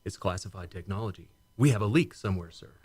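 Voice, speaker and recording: A man's voice: low-pitched, fast talker, a little mellow, breathy edge, dry recording. A man playing a serious, dutiful starship commander in a science fiction radio drama, speaking in a grave military manner. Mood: grave, concerned.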